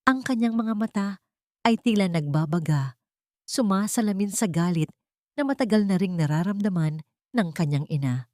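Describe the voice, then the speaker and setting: A woman's voice: medium pitch, lively intonation, moderate pace, long pauses, a little mellow, no echo, clean studio sound. A woman narrating a scripted story in a dramatic storytelling style.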